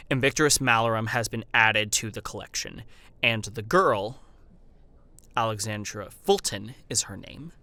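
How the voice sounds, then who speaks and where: A man's voice: mid-range voice, sharp tone. An actor playing a haunted, earnest survivor who recounts his ordeal in grave, formal, theatrical narration for a horror audio drama. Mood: earnest, pleading. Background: music.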